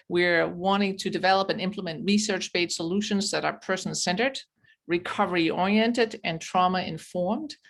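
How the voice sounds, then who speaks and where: A woman from Denmark, hosting and presenting a webinar in a measured, welcoming way over a video call. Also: medium pitch. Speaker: webinar host, chief learning officer.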